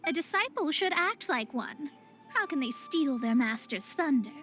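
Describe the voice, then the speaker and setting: A proud young astrologer from an anime-style game. The voice is very high, the delivery lofty and lecturing. Faint music plays behind. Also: female voice, sing-song, normal speed, a bit bright. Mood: smug, lecturing. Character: proud, haughty young female astrologer mage.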